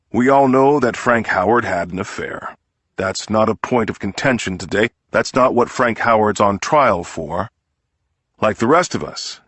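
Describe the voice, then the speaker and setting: A man's voice: mid-range voice, a bit bright, husky, no echo. A man voicing an indignant, persuasive defense lawyer delivering an oratorical closing argument to a jury in an audiobook. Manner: oratorical, emphatic, scornful.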